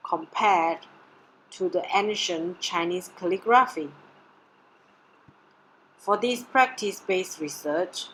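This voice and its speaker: A woman whose first language is Mandarin, reading her art research presentation in a measured, deliberate way. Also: fairly low pitch, fairly bright tone, a little hiss or hum, poor audio. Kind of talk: read-aloud research presentation.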